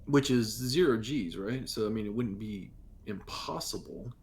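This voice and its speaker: A man's voice: fairly low pitch, measured pace, a little mellow, a little hiss or hum. A man chatting casually and eagerly as a player at a tabletop roleplaying game.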